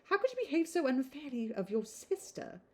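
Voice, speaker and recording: A woman voicing a principled young gentlewoman from a period novel, speaking in a formal, reproachful manner. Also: high voice, big pitch swings, fairly quick, pitched above the performer's own voice. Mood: indignant. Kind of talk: novel read aloud.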